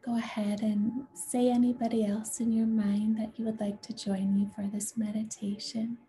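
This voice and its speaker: A woman leading a guided meditation in a gentle, soothing, unhurried way, with a soft, breathy voice that often nears a whisper. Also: on the high side, little pitch movement, a little hiss or hum. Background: music.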